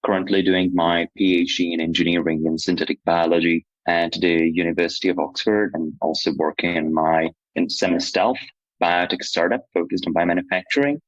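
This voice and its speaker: A young man from Slovakia answering interview questions in an earnest, explanatory way, pausing now and then to search for words. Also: low-pitched, steady tone, no room sound, muffled recording. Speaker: PhD student and nonprofit co-director.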